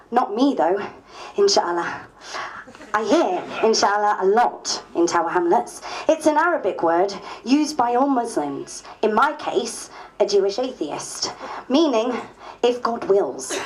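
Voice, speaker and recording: A woman's voice: fairly high pitch, low-quality recording. A woman playing an outspoken, principled MP in a diary dramatisation, speaking in a wry, conversational way. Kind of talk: dramatised diary, dialogue and narration.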